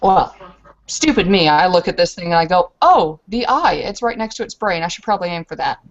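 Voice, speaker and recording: A woman roleplays a female werewolf warrior in a lowish voice. She rambles through tales of combat, hesitant and self-deprecating yet boastful. The sound is dull and lo-fi. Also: animated, a little fast, lightly acted. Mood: boastful storytelling. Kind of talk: in-character tabletop roleplay storytelling.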